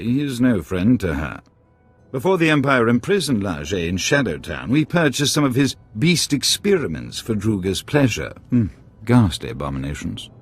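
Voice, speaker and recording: A courtly alien henchman for a game, super formal and flowery, lilts up and down, music behind. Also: fairly low pitch. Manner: formal, florid, speaks as "we". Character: formal, courtly alien man speaking for a crime boss. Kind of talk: video game character acting. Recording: music under the voice.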